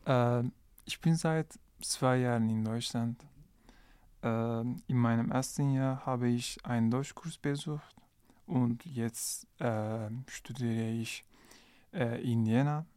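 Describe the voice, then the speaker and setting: A man talks haltingly on a podcast, pausing on fillers and restarting words. His voice is mellow and smooth, the pace slow and the sound dry and close. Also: mid-range voice, fairly even intonation, no echo. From Turkey. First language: Turkish. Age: 20s. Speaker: guest, international student. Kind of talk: podcast interview.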